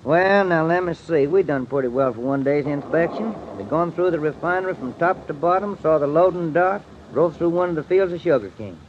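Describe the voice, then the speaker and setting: A man plays a folksy, callous Texan investor in an old radio drama. He drawls ungrammatically in a slightly high male voice. The recording is dull, with faint noise underneath. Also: lively intonation, a little fast, few pauses, lightly acted. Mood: breezy. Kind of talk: 1940s-50s radio drama acting.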